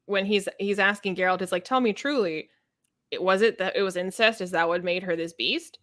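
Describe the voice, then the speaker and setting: A woman co-hosting a podcast, talking casually about books and retelling things in an informal, expressive way. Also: mid-range voice, a little fast, no echo, clean studio sound. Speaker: podcast co-host. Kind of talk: casual book discussion chat.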